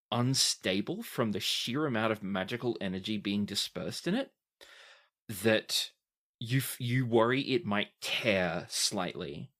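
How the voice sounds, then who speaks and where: A man running a tabletop game as game master, describing scenes in a deliberate, dramatic way. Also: medium pitch, fairly bright tone.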